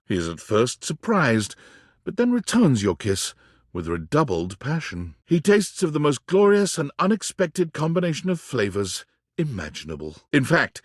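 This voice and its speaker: A man narrating a whimsical game as a wry, florid storyteller, with measured, theatrical delivery that relishes every flourish. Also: medium pitch, husky, no echo, studio quality. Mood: sensual, florid. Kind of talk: scripted narration.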